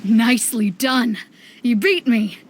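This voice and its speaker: A woman's voice: on the high side, expressive, slow, noisy background. A woman voicing a cool, frank, sporting female fighter in an anime game, matter-of-fact in delivery. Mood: gracious, sporting.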